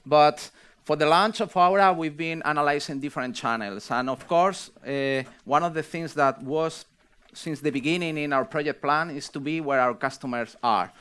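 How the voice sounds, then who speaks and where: A man presenting a product launch on stage, halting and pausing with fillers. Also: a little high, fairly bright tone, clean tone. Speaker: executive presenter at a launch event.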